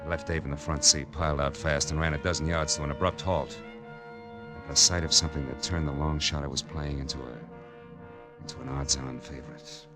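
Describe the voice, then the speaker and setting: An actor playing a terse, world-weary private detective in 1940s radio drama style. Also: male voice, rumbling low, steady tone, fairly quick. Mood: tense, brooding. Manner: terse, world-weary tough-guy narration. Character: hard-boiled male private detective narrating his case. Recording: old-time radio recording with music.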